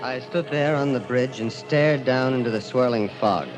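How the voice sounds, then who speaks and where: A man's voice: mid-range voice, moderate pace, lightly acted, poor audio. Guy acting doomed gloomy man in old radio show, melodramatic, music behind him. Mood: brooding, bleak. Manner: melodramatic, brooding. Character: despairing, broke, world-weary man narrating his story. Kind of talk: old-time radio first-person drama.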